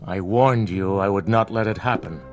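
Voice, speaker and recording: A man's voice: lowish voice, normal speed, a little mellow, faint music behind, close to an everyday voice. An actor playing a stern, bitter older father in a TV drama, speaking in a formal, dry, cutting manner. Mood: cold, skeptical. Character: stern, bitter ailing father, protective of his daughter. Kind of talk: scripted TV drama acting.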